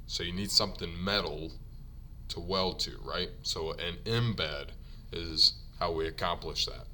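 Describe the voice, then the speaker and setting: A low-pitched man with a bright, forward tone works through a vocabulary lesson at an unhurried pace, repeating each trade term slowly for learners before explaining it. A faint hum sits under the recording. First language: English. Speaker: ironworker union instructor. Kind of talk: slide-based vocabulary lesson.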